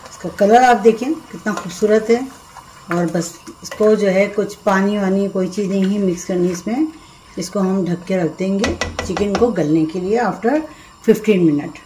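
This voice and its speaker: A woman's voice: medium pitch, a little slow. A middle-aged woman hosting a home cooking demonstration, giving casual, chatty recipe instructions in a kitchen. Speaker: home cooking show host. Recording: roomy kitchen echo, background noise.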